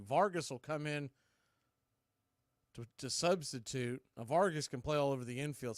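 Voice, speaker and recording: A man's voice: medium pitch, fairly bright tone, no echo, clean studio sound. A man announcing baseball in a play-by-play commentary style.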